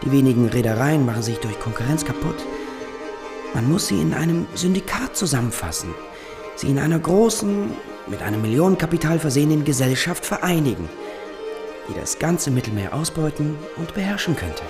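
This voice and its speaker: An actor playing a frustrated visionary engineer in a German radio drama, earnestly and urgently pitching his plans. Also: big pitch swings, fairly quick, over music. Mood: urgent enthusiasm. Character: frustrated visionary engineer, eager for capital, 19th century.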